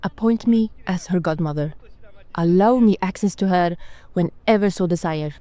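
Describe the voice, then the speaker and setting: A woman's voice: lowish voice, moderate pace, mellow, dry recording, natural voice. Woman playing an airy, eccentric vampire archduchess. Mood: doting, pleased. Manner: airy, drawling, theatrical. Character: eccentric, languid vampire archduchess, airily doting. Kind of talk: fantasy ASMR roleplay.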